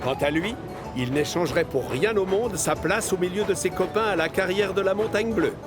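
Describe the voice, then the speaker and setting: A man narrating a scripted children's story as an expressive storyteller. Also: high-pitched, normal speed. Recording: music under the voice.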